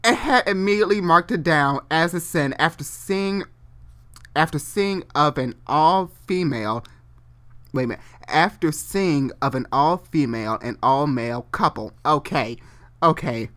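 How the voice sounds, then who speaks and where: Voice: medium pitch, expressive, measured pace, fairly bright tone. A person doing a comedic dramatic reading of amateur online fiction, theatrical and unfiltered, breaking off into casual asides and re-reading stumbled phrases. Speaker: reader and host.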